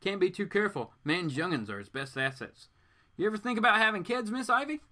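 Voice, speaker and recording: A man's voice: high voice, very animated, fairly quick, pushed and bright, voice pushed up, exaggerated voice. A man playing a folksy, boastful rich father from a comic book, chatty and informal. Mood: jovial, boastful.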